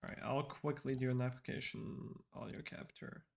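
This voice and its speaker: A man giving relaxed live commentary on his own speedrun, explaining tricks in a casual, rambling way. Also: mid-range voice, moderate pace, vocal fry. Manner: casual, explanatory, frequent fillers and restarts.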